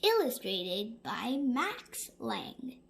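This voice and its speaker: A woman reads a children's bedtime story at a very slow, deliberate pace. Her delivery is dramatic and full of suspense, with a creaky edge to the voice. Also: fairly high pitch. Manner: animated, dramatic, with suspenseful pauses. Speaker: storybook reader. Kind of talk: expressive read-aloud of children's bedtime stories.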